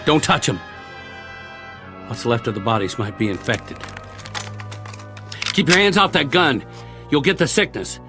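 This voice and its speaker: An American actor playing a weary, wry, talkative soldier in a mid-century television drama, speaking in colloquial, rambling lines. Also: male voice, medium pitch, very animated, a little slow, pauses often. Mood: urgent, alarmed. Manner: colloquial, rambling, talking to a silent companion. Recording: old TV soundtrack with faint music.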